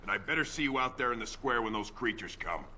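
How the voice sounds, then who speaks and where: An actor playing a grumpy, sarcastic dwarf trader in a fantasy game. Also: male voice, medium pitch, lively intonation, fast, pushed and bright, a little hiss or hum. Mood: sardonic. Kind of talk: video game voice acting.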